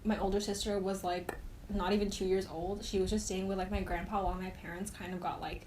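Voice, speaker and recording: A woman's voice: medium pitch, little pitch movement, a little fast, a little mellow, a little hiss or hum, rough recording. A young woman co-hosting a podcast, speaking hesitantly and rambling with many fillers. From Singapore. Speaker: podcast co-host. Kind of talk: casual chat.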